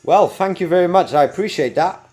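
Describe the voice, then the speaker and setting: A man from Belgium with a mixed UK, New Zealand and American accent talks to his livestream chat from his home desk. His voice sits a bit high, and he stays chatty and upbeat while sounding tired. Faint music plays behind him. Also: a little fast, a little mellow. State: tired after a night awake. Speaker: livestream host. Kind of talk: casual livestream chat to viewers.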